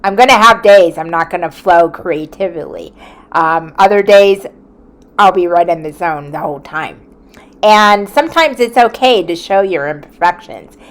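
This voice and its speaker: A woman in her forties, a content creator, talking in an earnest, reflective way from a quiet room at home, with small hesitations. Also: lowish voice, normal speed. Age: 40s. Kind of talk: reflective vlog talk.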